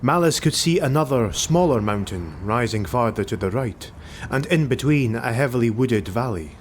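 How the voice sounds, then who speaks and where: A man's voice: medium pitch, animated, normal speed. A man narrating a fantasy audiobook in a dramatic, scripted storytelling style. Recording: noisy home recording.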